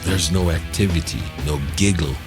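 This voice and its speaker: A man voicing a gruff, droning male podcast sidekick who speaks tersely. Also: low voice, moderate pace, over music, voice pushed down, natural voice. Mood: gruff, flat.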